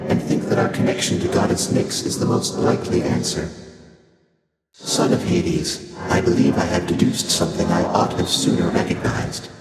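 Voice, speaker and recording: An ancient primordial being from a video game, with a very low voice soaked in cavernous, otherworldly reverb. It speaks in measured, stately, archaic phrases, serene and inscrutable, while loud music plays behind it. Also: male voice. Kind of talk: scripted video game character dialogue.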